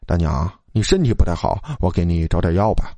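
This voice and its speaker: A man voicing a laid-back young male student, offhand and unhurried, in a dramatized story. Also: low-pitched, lively intonation, darkish tone, no echo, pitched below the performer's own voice. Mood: casually concerned.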